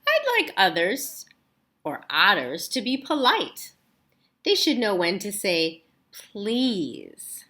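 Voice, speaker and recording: A woman's voice: medium pitch, very animated, takes it slowly, pushed and bright, heavily acted. A woman voicing a worried, fussy male storybook rabbit who muses aloud in questions. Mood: earnest, fussy. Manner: questioning, musing storybook delivery.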